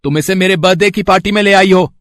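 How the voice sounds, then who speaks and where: Man playing a cocky, higher-pitched college boy. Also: steady tone, fast, a bit bright, no echo, clean studio sound. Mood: indignant. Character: spoiled, sly rich college boy. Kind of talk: dramatised Hindi moral story.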